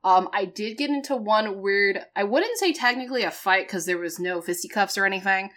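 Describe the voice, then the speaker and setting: A woman's voice: mid-range voice, fairly quick. A woman with a New England accent, co-hosting a podcast and telling stories in casual chat, with lots of fillers. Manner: chatty, animated storytelling with many fillers. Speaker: podcast co-host.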